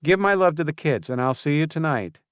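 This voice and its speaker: A man's voice: fairly high pitch, expressive, brisk, clean tone, no room sound, clean studio sound, voice pushed up, lightly acted. A man voicing a poised, gracious woman mayor who speaks politely. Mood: friendly.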